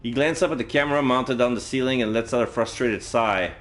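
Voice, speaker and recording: A man's voice: medium pitch, fairly quick, a bit bright. A man livestreaming a game, reading visual novel text aloud and adding casual commentary as he plays. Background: game music.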